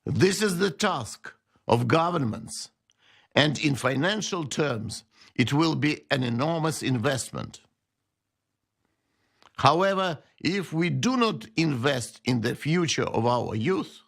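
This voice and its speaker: A man delivering a deliberate, emphatic prepared speech at a memorial ceremony. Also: mid-range voice, creaky, fairly bright tone.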